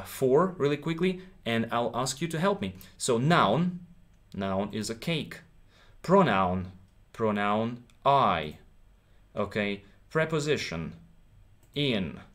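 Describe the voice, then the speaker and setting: A man's voice: mid-range voice, fairly bright tone. A man in his thirties, a native Ukrainian speaker with a Ukrainian accent, teaching English in a live stream from a small room, encouraging and checking in with his viewers often. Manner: encouraging, repeats himself, checks in with "okay?".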